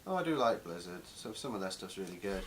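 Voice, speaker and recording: A man's voice: low voice, big pitch swings, fast, a little hiss or hum. A young Englishman chatting casually at home into a camera mic, cutting in with dry, teasing remarks. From England. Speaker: co-host partner. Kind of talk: casual chat. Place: home room.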